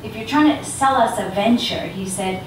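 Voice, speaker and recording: A woman's voice: mid-range voice, moderate pace, slight background noise. Woman giving an engaging workshop talk. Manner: engaging, asks the audience questions. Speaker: guest speaker on elevator pitches. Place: large echoey lecture room. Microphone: handheld mic from a distance. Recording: echoey room recorded from far off.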